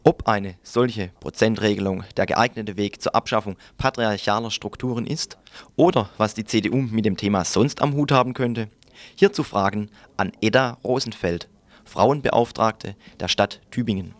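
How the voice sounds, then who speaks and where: A man's voice: fairly low pitch, moderate pace, no echo. A man working as a radio presenter, reading a scripted introduction.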